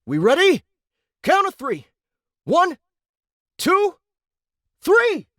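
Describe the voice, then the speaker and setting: A man's voice: very high voice, huge pitch swings, extremely slow, pushed and bright, no room sound, studio quality, heavily acted. A man playing an earnest teenage boy recruit who takes command in a science fiction audiobook. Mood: urgent, commanding.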